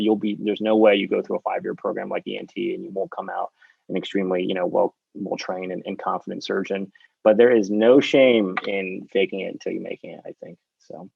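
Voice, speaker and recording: A rambling, talkative surgeon talks through his advice with plenty of ums and restarts. His voice is low and gravelly. His delivery stays fairly flat, and the recording sounds dull and dry. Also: fairly quick, no echo. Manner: talkative, self-described loudmouth, rambling with many fillers. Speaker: surgeon mentoring residents. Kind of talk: panel discussion, advice to trainees.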